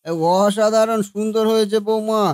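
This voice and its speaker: A man's voice: high-pitched, monotone, normal speed, a little mellow, no room sound, studio quality, lightly acted. A man voicing a kindly, protective older father-in-law in a family comedy cartoon, insistent and full of little vocal tics. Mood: approving, coaxing.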